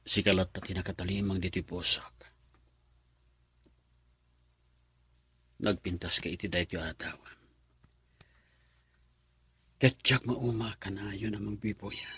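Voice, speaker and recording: A man's voice: deep voice, unhurried, long pauses, a bit bright, slightly rough, dry recording, exaggerated voice. An actor playing a lovesick young man, a devoted son, in a melodramatic radio drama. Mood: brooding.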